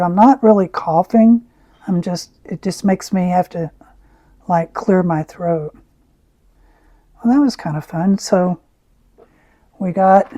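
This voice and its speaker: A woman with a raspy voice, who often clears her throat as she chats through an art tutorial. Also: on the low side, no room sound. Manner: slow, soft-spoken, frequent throat clearing. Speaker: art channel host. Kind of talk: casual art tutorial chat.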